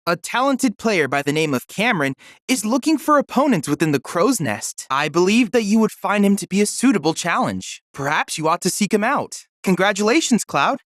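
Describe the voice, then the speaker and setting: A youthful android research assistant from a video game. The delivery is lively and melodic, with careful, bookish diction and an eager, courteous temper. The sound is close and very dry. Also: male voice, sits high, dry recording. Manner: formal, articulate, bookish phrasing. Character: polite, precise, eager boy android researcher and guide. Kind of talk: scripted video game character dialogue.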